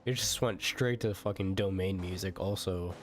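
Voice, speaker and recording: A man's voice: deep voice, normal speed. A man streaming a video game with casual, slangy, sweary reactive commentary. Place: indoors, streaming over game audio. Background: loud game music.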